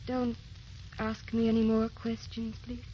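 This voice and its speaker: An actress playing a gentle, youthful woman with a secret sorrow, tender and wistful, in old-time radio drama style. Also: fairly high pitch, monotone, a little slow, slight background noise, close to an everyday voice. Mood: quietly confessing. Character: youthful, gentle, secretly sorrowful wife posing as a distant cousin. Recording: dull old radio recording, music behind.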